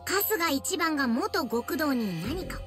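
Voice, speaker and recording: A woman's voice: high-pitched, very animated, takes it slowly, a bit bright, faint music behind, heavily acted. A woman voicing a cutesy, perky young virtual streamer who narrates scandal exposés in a video game. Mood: gleefully accusing.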